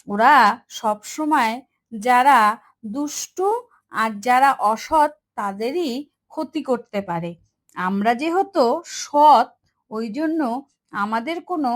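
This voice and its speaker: A woman playing a woodcutter's wise, pious young daughter in a cartoon fairy tale, earnestly instructing. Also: a little high.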